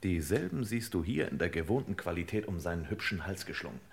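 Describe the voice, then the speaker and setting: An actor playing a hard-boiled, sardonic detective boss in an old German crime radio play, with dry, wordy, deadpan delivery. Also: male voice, low voice, fast talker, gravelly, slight background noise, exaggerated voice. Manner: clipped, dry, ornately deadpan phrasing.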